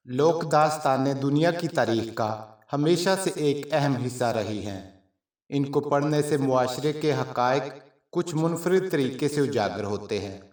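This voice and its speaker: A man narrating a scripted story in a measured, formal storytelling manner. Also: medium pitch. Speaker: channel narrator. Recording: roomy echo, distant from mic.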